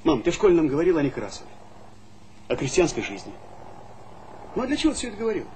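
A man's voice: a little high, wildly swooping pitch, very fast, darkish tone. An actor playing a hot-headed, idealistic young village man, impassioned and argumentative, in a Soviet stage comedy. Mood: earnest, stubborn. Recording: faintly noisy 1970s theatre recording.

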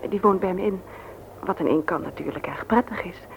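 A woman's voice: lowish voice, brisk, gravelly. An actress playing a flirtatious, forthright widowed mother in a radio play, with a teasing, arch manner. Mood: wistful. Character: flirtatious, frank widowed mother of two on a first date. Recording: dull old radio recording with noise.

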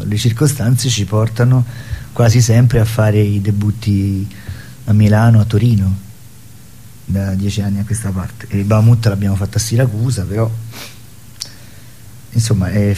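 A man's voice: fairly low pitch, warm tone, a little hiss or hum. A man being interviewed as a theatre artist, speaking in an assertive, declarative way in conversation.

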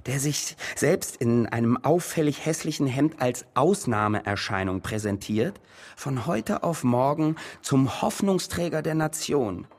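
A man's voice: mid-range voice, animated, measured pace, a little mellow. An actor playing a self-regarding, scornful man in a satirical radio play, speaking in emphatic, mocking rhetorical phrases. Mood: scornful indignation.

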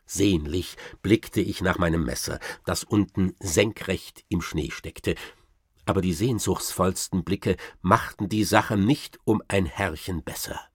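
An actor playing a boastful old-time baron, telling tall tales with ornate, dryly ironic theatrical expression in a radio play. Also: male voice, low voice, unhurried, husky, dry recording, clean studio sound, exaggerated voice. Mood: wry, boastful. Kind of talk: theatrical first-person storytelling in a radio play.

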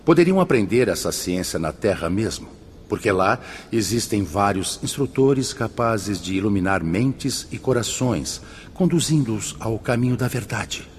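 Brief: grave, kindly spirit teacher, low voice, sweeping dramatic intonation, radio drama. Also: male voice, lively intonation, a little hiss or hum. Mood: solemn, instructive. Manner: grave, measured, preaching with dramatic emphasis. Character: Brother Teócrito, solemn benevolent male spirit mentor. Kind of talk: religious radio drama acting.

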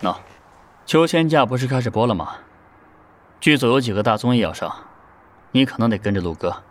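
A man's voice: mid-range voice, animated, a little fast, lightly acted. A man playing a matter-of-fact, kindly idol group manager in a Chinese audio drama, businesslike and easygoing.

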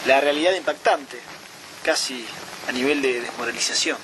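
A man speaking reflectively in recollection, pausing between phrases. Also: mid-range voice. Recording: clear ambient noise.

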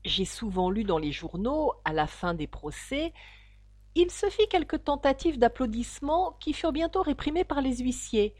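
A woman voicing a mild, sensible, curious young girl with a gentle, matter-of-fact manner. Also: high voice, big pitch swings, normal speed, dry recording, voice pushed up. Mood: pensive.

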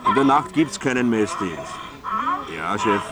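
An actor playing a harried, muttering night-shift radio technician in a radio drama. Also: male voice, lowish voice, expressive, measured pace, low-quality recording. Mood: harried, deferential. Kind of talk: German-language radio drama acting.

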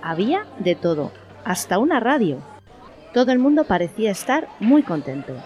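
A woman's voice: fairly low pitch, darkish tone. A woman with an accent from Spain, narrating an amateur radio play as a scripted story.

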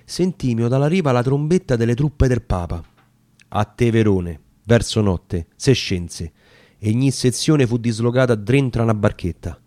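A man's voice: mid-range voice, expressive, moderate pace, warm tone, no room sound. A man with a Roman accent reciting narrative dialect sonnets as dramatic storytelling. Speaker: actor reading poetry.

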